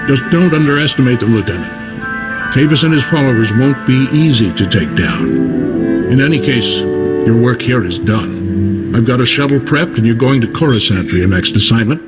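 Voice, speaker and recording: A man voicing an authoritative, weary military general in a video game, formal and measured. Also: mid-range voice, lively intonation, over music, close to an everyday voice. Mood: commanding.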